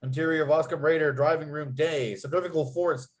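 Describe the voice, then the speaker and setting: A man's voice: on the high side, steady tone, fairly quick, a little hiss or hum. A man reading screenplay stage directions as the narrator of a table read.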